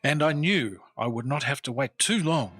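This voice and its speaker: An actor playing a shrewd, wry Victorian-era customs inspector in a radio detective drama, formal and measured as he talks and narrates his cases. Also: mid-range voice, expressive, loud music behind the voice, lightly acted. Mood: measured, confident.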